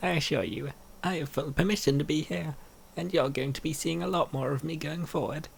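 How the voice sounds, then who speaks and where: A man reads out comic dialogue in character. His voice is heavily fried and gravelly, set a little higher than most men's. Faint noise sits behind the recording. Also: steady tone, fairly quick. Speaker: comic dub voice actor. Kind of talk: acted reading of comic dialogue.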